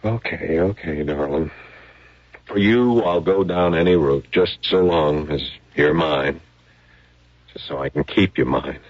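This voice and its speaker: An actor playing a big, young, good-natured but jealous American husband, a former football player, in a naturalistic radio drama. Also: male voice, very deep voice, unhurried. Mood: jealous, devoted. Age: young adult. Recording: muffled, noisy old radio drama recording.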